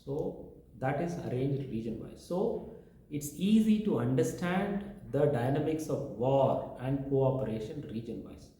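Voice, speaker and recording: Male professor, lecture-style, mellow tone, very reverberant room. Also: medium pitch, animated, measured pace. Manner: lecturing, listing points one by one. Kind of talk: explaining teaching methods in an interview. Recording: very echoey room.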